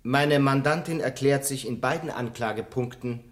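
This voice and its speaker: An actor playing a formal, probing defence lawyer questioning in court in a German radio play, with measured phrasing. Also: male voice, mid-range voice, very noisy, lightly acted.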